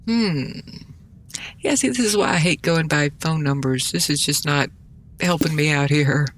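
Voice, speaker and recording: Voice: medium pitch, a little slow, fairly bright tone. A gameplay host giving casual, rambling commentary, muttering and thinking aloud in a self-deprecating way. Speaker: gaming video host.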